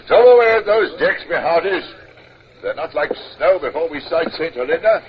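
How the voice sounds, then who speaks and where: A man playing a rough, hearty ship's officer in a 1950s radio drama, barking and exclaiming. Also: on the high side, expressive, normal speed, close to an everyday voice. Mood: gruff, hearty. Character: rough, excitable British sailing-ship officer. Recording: muffled noisy old radio, crowd behind.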